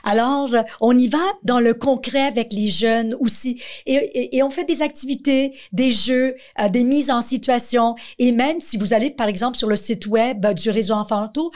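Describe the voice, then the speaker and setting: A woman's voice: on the high side, moderate pace, hardly any pauses, a little mellow. A middle-aged woman with a Quebec French accent, speaking as an interview guest and explaining advice through everyday examples. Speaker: guest, director of a missing-children organisation.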